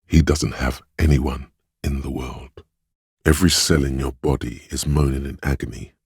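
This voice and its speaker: An extremely deep, husky inner voice narrates a video game with grave compassion. The delivery is measured, solemn and knowing, recorded close and dry. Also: male voice, fairly even intonation, a little mellow, dry recording, heavily acted. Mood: grave, compassionate.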